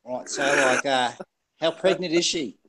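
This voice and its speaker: A man's voice: a little high, normal speed, fairly bright tone, slight background noise. A man in a casual livestream chat, bantering and firing off mock questions.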